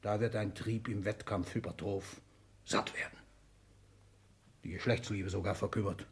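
A man's voice: fairly low pitch, fairly even intonation, moderate pace, close to an everyday voice. An actor playing a domineering, sardonic, ageing aristocratic father in a 1960s German radio play, lecturing with theatrical scorn. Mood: scornful, lecturing.

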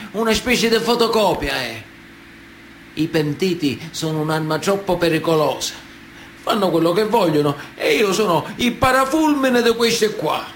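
High-voiced, wily Sicilian mafioso. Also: male voice, a bit bright, slight background noise. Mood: indignant, accusing. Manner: emphatic, theatrical, with drawn-out pauses. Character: wily, indignant, semi-literate Sicilian mafioso addressing a judge. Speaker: stage actor. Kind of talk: theatrical radio drama monologue.

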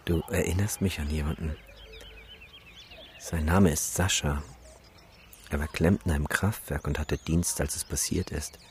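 Weary, sardonic male photographer for radio drama; extremely low register, skeptical edge, faint background noise. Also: fairly even intonation, unhurried, long pauses. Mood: somber, reflective. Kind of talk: naturalistic radio drama acting.

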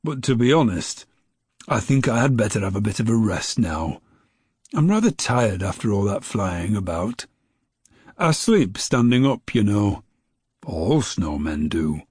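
A man voicing a gentle, kindly snowman in a storybook, speaking slowly and calmly. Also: husky, dry recording, exaggerated voice. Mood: tired. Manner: slow, low and calm.

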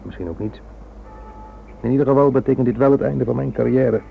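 A male actor playing a wry, resigned, suspended British police inspector in a radio drama, speaking in a measured, world-weary way. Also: medium pitch, fairly even intonation, gravelly. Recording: old radio recording, narrow band, background noise.

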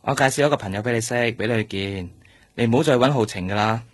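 Man acting a husky, cautioning doctor. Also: medium pitch, quick pace, no echo, lightly acted. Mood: cautioning, firm. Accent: Hong Kong Cantonese. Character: firm, cautioning male senior doctor, protective and guarded. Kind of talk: Cantonese radio drama acting.

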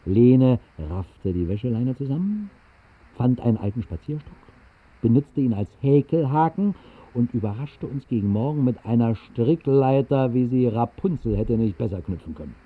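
A man's voice: mid-range voice, animated, normal speed, breathy edge. An actor narrating a 1960s radio drama as a whimsical bohemian drinker, telling his tale in a wry, literary way. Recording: tinny band-limited 1960s radio recording.

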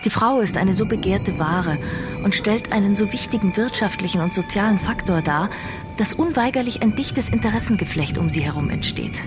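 An actress playing a sharp-minded, idealistic young woman in a radio crime drama, deliberate, analytical and decisive. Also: mid-range voice, animated, natural voice. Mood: coolly analytical. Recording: clear music behind the voice.

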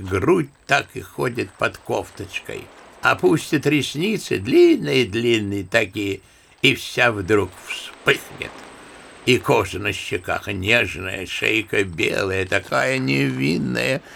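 A man's voice: mid-range voice, creaky, fairly bright tone, heavily acted. An actor playing an embittered, talkative old grandfather in an audio drama of a literary story, telling things theatrically and savouring his words. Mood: wistful, sardonic. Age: older.

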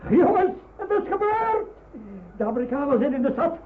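An actor playing a kindly, protective Dutch uncle in a wartime radio play, decisive and urging. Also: male voice, extremely high pitch, very animated, normal speed. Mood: excited, jubilant. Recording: tinny, noisy old radio play recording.

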